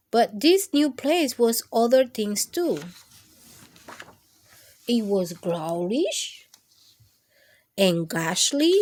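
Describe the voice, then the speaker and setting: A woman hosting a children's storytime, reading picture books aloud expressively with drawn-out emphasis for young listeners. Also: on the high side, darkish tone. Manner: drawn-out, emphatic delivery addressed to small children.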